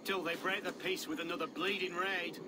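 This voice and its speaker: An actor playing a bitter, sarcastic villager who heckles and accuses in a video game cutscene. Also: male voice, high-pitched, flat delivery, a little fast, background noise, natural voice. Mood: bitter, accusing.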